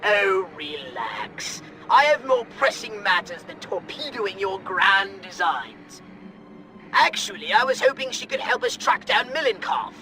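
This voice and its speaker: An actor playing a haughty, unflappable alien commander in a video game, pompous, theatrical and condescending. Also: male voice, high voice, very animated, a little slow, bright, forceful tone, faint music behind, heavily acted, rough recording. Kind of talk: video game cutscene acting.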